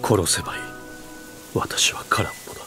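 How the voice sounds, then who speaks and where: An actor playing a weary, guilt-ridden lord in a video game, speaking gravely and slowly, often sinking toward a whisper. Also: male voice, over music, close to an everyday voice. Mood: hollow resignation. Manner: slow, grave, half-whispered confession. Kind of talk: Japanese video game voice acting.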